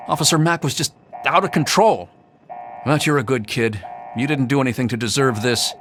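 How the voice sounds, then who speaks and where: An actor playing a reluctant but kindly security guard in a video game, speaking hesitantly and earnestly. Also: male voice, mid-range voice, moderate pace, background noise, close to an everyday voice. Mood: uneasy, sympathetic. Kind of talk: video game character acting.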